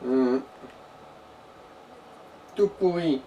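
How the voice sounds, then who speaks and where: A man's voice: mid-range voice, extremely slow, recorded from a distance. A man making brief, casual comments as a co-commentator during a video game session. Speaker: co-commentator beside the player. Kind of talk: casual chat, brief interjections. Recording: muffled, off-mic, with background noise.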